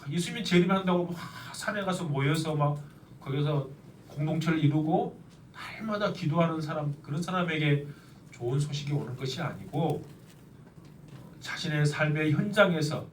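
Nasal-voiced pastor with a cold. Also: male voice, fairly high pitch, a little hiss or hum. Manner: earnest, with slow emphatic stretches. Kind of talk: sermon. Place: church sanctuary. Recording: echoey church, mic at a distance.

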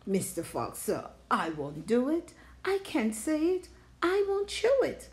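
Storybook creature, male, fed up and whining, pitched high, pleading in big swoops played by a woman. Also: huge pitch swings, a little slow, full character voice. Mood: stubborn, whining. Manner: protesting, pleading. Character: exasperated, whining male creature worn out by tongue-twisters.

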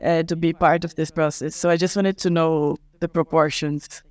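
A man's voice: fairly high pitch, little pitch movement, normal speed, a little mellow, smooth, no echo. A man from Brazil asking a question at a conference. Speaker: audience questioner.

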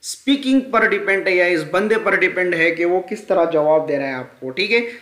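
A man's voice: high-pitched, lively intonation, moderate pace, fairly bright tone. A man teaching a grammar lesson in an explanatory style, repeating key terms and often checking in with the listener. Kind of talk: explanatory screen-recorded lesson. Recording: echoey room sound.

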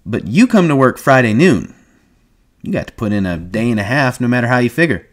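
An excitable, chatty ranch hand in an audiobook, as a man acts him. His talk is brash and folksy. The voice is lifted well above the narration and comes out loud. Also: medium pitch, brisk, voice pushed up, lightly acted. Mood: knowing, teasing.

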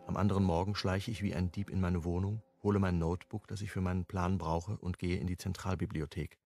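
Low, husky hunted sleuth. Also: male voice, flat delivery, a little fast, a little mellow, soft background music. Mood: tense, wry. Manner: clipped, brooding, first-person telling. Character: hunted, wry amateur sleuth narrating his own story. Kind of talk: German radio crime drama narration and acting.

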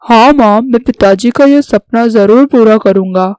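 An earnest young would-be soldier from a cartoon story , voiced by a woman. The voice sits fairly high and sincere, declaring devotion plainly in a very dry recording. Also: measured pace, a little mellow, dry recording, close to an everyday voice. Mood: earnest, resolute. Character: earnest, patriotic young man becoming a soldier. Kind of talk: scripted Hindi cartoon story dialogue.

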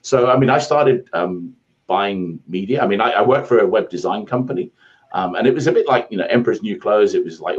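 British man, very deep voice, rambling and self-deprecating, muffled lo-fi video call audio. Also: darkish tone. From England. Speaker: guest, ad agency founder. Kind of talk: casual interview storytelling. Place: home office, indoors. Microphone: earphone headset on video call.

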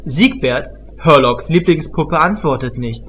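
A man reads scripted narration for a comedy radio play. His voice is somewhat high for a man. Clear background noise runs through the recording. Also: lively intonation, unhurried, fairly bright tone.

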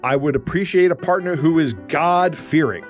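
A man reading aloud the letter of a scammer posing as a geologist, slow and formal. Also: a little high, faint music behind, natural voice.

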